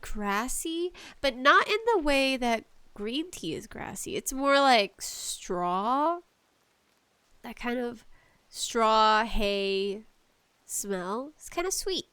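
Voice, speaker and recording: A woman chatting casually as a solo podcast host, nervous, halting and apologetic, with allergies bothering her. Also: on the high side, a bit bright, clear, resonant tone, no echo. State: allergies acting up. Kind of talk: casual solo podcast chat about games and tea.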